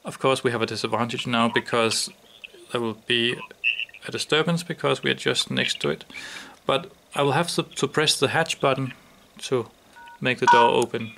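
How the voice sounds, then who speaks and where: Gravelly man demonstrating a product. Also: medium pitch, moderate pace, a bit bright, slight background noise, rough recording.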